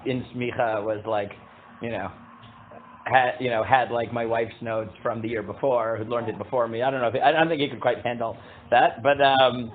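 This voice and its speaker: A man leads a casual Torah class in a booming voice. He halts and restarts often, reading Hebrew text aloud before translating it. The sound is thin and tinny, like a phone line. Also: fairly even intonation, slight background noise. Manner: halting, many fillers and restarts. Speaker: rabbi teaching a class.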